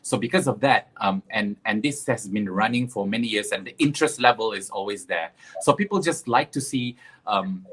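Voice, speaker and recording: A man's voice: fairly low pitch, moderate pace, a bit bright, husky. A man from Sarawak in East Malaysia, a pageant director, answering interview questions hesitantly with many fillers.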